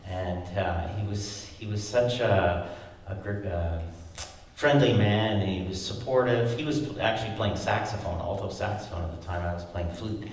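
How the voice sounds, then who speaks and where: A man in his sixties, a flute player, giving halting spoken remarks at a concert with many fillers and restarts. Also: lowish voice. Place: large concert hall. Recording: echoey concert hall from far off.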